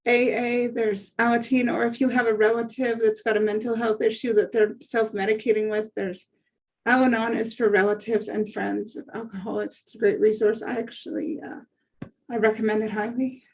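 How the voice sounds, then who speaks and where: Higher-pitched woman, matter-of-fact. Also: level tone, brisk, darkish tone, poor audio. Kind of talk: casual group discussion.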